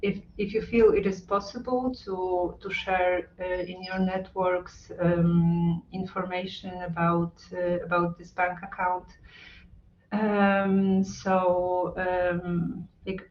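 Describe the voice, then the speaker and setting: A woman's voice: lowish voice, level tone. A woman on a video call, speaking as a with many ums and uhs. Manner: halting, with frequent ums and uhs. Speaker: hub representative on a video call. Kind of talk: hesitant online talk with many fillers. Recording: roomy, distant, muffled call audio.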